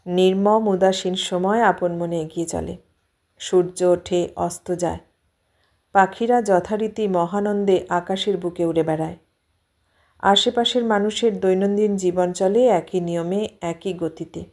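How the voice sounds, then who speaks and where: A woman reading a literary story aloud as an audiobook narrator, in an expressive, emotive manner. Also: on the low side, unhurried.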